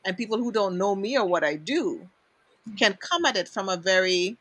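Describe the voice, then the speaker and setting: Host: Trinidadian woman, bright and effusive, dry close sound. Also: medium pitch, animated, moderate pace, dry recording. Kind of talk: casual interview talk.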